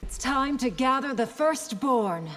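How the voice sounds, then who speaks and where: An actress playing a poised, wary, protective warrior princess who leads an army in a fantasy fighting game, formal and composed. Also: female voice, high-pitched, lively intonation, unhurried, a bit bright. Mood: poised, guarded. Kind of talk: video game fighter intro dialogue. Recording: echoing hall, clear background noise.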